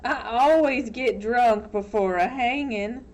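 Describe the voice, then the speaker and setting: A woman voicing a drunken, lecherous male small-town sheriff with a slow, smug drawl in a storybook reading. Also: on the high side. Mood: drunken leering.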